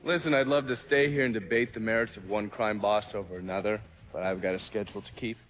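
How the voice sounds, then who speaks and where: An actor playing a cynical, blunt operative in a video game drama, with a mocking manner. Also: male voice, on the low side, steady tone, fast talker, dull audio, a little hiss or hum, lightly acted. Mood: coolly sardonic. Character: cynical, foul-mouthed, sardonic male operative.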